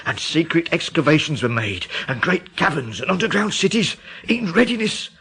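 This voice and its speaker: A man's voice: fairly high pitch, big pitch swings, moderate pace, a bit bright, breathy edge, full character voice. Agitated, fearful radio drama Englishman. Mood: grim, fearful. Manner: dramatic, urgent storytelling. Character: alarmed, agitated English Midlands man spreading rumours of terror.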